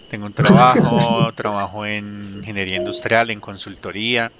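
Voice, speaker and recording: A man's voice: on the low side, little pitch movement, moderate pace. Man in his thirties over noisy call audio. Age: 30s. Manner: warm, laughs often, hesitant fillers. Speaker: guest. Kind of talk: personal testimony in an interview. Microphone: headset on a video call.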